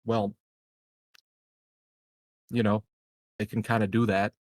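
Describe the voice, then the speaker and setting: A man with a fairly low voice, recorded dry and close. He plays a tabletop roleplaying game, improvising his lines casually with hesitant, measured phrasing. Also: level tone, a little mellow, dry recording. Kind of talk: casual in-character improvised dialogue.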